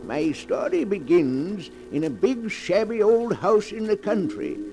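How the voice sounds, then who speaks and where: A man's voice: high-pitched, very animated, measured pace, creaky, warm tone, faint music behind, rough recording. A man narrating a 1940s radio mystery in a dramatic, ominous, scripted manner. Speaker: host and narrator. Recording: vintage 1940s radio broadcast.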